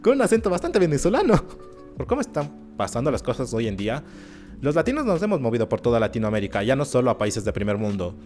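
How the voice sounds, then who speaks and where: A man giving animated, sarcastic commentary in an opinion video. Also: mid-range voice, fast. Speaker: YouTube commentator. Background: faint background music.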